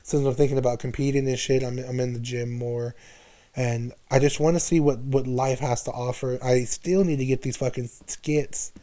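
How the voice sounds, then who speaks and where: A man's voice: mid-range voice, steady tone, moderate pace, warm tone. This is a man in his twenties with a mid-range voice. He talks as a guest in a casual, candid conversation. His speech is slangy and freely sprinkled with swear words. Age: 20s. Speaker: podcast guest.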